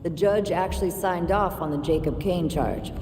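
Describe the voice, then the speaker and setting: A woman's voice: on the low side, moderate pace, recorded from a distance, over music, lightly acted. An American woman playing a wry, no-nonsense police detective in a video game cutscene, dry and blunt. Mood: dry, businesslike. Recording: echoey hall with score behind.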